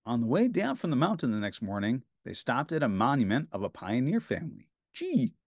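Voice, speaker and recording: A man narrating a children's storybook aloud in an expressive, scripted read-aloud style. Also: mid-range voice, a little fast, vocal fry, dry recording, studio quality.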